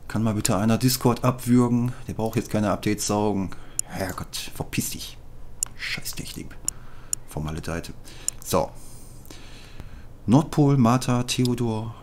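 A man in his thirties hosting a casual gaming video from a home desk, animated and jokey, swearing when flustered. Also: lowish voice, slow pace, pauses often, slight background noise. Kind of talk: casual gaming chat with friends.

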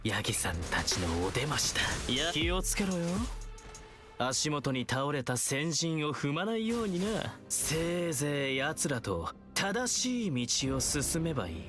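Theatrical, taunting game villain, swooping pitch. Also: male voice, sing-song, over music. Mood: mocking, playful. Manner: theatrical, teasing, drawn-out menacing delivery. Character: taunting, theatrical young male villain, playful and menacing. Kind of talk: video game voice acting.

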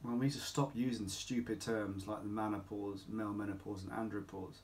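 A middle-aged man speaking as a clinic doctor, explaining to camera in an emphatic, deliberate way with rhetorical questions. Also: fairly low pitch, steady tone, darkish tone, slight background noise. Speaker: doctor at a men's health clinic. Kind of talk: informal explainer talk to camera. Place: small home office or consulting room, indoors.

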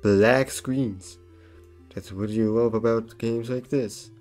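A man's voice: medium pitch, fairly even intonation, takes it slowly, a little mellow, soft background music, lightly acted. A man voicing a defensive, game-obsessed animatronic bear in a cartoon skit, casual but insistent.

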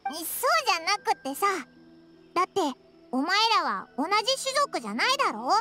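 A woman's voice: very high-pitched, very animated, brisk. A woman voicing a small, cheeky, childlike fairy companion in a game dub, with blunt, boyish casual speech. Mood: puzzled. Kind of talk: Japanese game dub dialogue. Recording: music behind voice.

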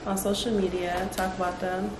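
A woman's voice: medium pitch, level tone, normal speed. A woman, chatting casually as a client in a small room indoors. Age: 20s. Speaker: vlogger, salon client. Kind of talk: casual chat. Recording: roomy echo, clearly noisy room.

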